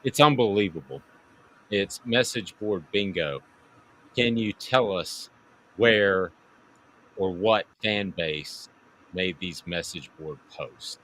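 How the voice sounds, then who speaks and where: A lowish, forceful male voice hosting sports talk radio, pushing each segment with big announcer hype. A faint hiss sits under the talk. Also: takes it slowly, long pauses, low-quality recording. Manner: hammy, announcer-style hype.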